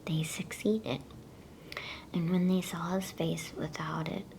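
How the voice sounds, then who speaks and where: Female ASMR reader, hushed creaky whisper, flat and very unhurried, for bedtime storytelling. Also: lowish voice, a little hiss or hum, rough recording. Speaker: ASMR storyteller reading a children's novel aloud.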